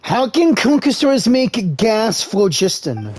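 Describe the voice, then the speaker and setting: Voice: medium pitch, very animated, slow, noisy background. A voice actor playing a brash, blunt young companion fighter in a game cutscene. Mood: questioning.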